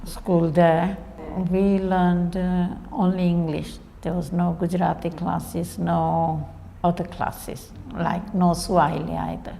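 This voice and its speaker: Woman born in India, grew up in Kenya, gravelly and low, flat slow delivery, long room reverb. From India, raised in Kenya. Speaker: interviewee. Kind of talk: personal storytelling. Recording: reverberant room with faint noise.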